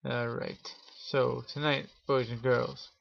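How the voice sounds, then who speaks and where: Slow-talking man telling spooky stories, lo-fi. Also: mid-range voice, flat delivery, a bit bright. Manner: theatrical showman address with drawn-out pauses. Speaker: host of a Halloween story show. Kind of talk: spooky storytelling to an audience. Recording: dull lo-fi audio with clear noise.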